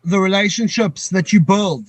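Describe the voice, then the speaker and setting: A middle-aged man from Africa, answering an interview in a halting, reflective way that often pauses to find words. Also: sits high, no echo. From South Africa. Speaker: guest, co-founder of a veterans paddling program.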